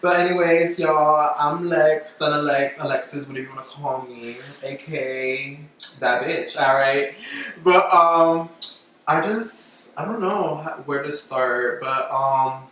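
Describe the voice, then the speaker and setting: A man's voice: a little high, measured pace, fairly bright tone. A man co-hosting a casual podcast, chatting in a sassy, giggly way full of slang and swearing. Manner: sassy, slangy, profane banter. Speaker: podcast host. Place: echoey room. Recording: echoey room, far mic, faint noise.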